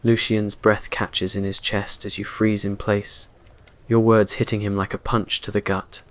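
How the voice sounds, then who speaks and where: A man's voice: lowish voice, flat delivery, measured pace, a bit bright. A man reading scripted romantic roleplay narration in an ASMR story style.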